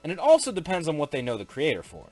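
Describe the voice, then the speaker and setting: Lively, matter-of-fact male commentator. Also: a little high, fast, soft background music. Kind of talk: casual spoken commentary.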